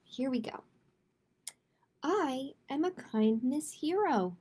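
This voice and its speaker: A woman hosting a live picture-book storytime for children, speaking to viewers in simple, deliberate phrases. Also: high-pitched, expressive, dark tone.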